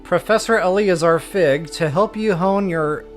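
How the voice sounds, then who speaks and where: A man's voice: sits high, measured pace, faint music behind. A man hosting a game stream, chatting casually to viewers in an informal, self-deprecating, rambling way. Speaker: host of a video game walkthrough stream.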